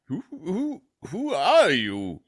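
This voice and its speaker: A man acts a nervous old miser in a theatrical radio drama. He stammers and blusters at a slow pace, his pitch leaping wildly. He is skeptical, fearful and pleading. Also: wildly swooping pitch, husky, exaggerated voice. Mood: startled. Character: frightened, skeptical old miser facing a ghost. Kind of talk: theatrical radio play acting.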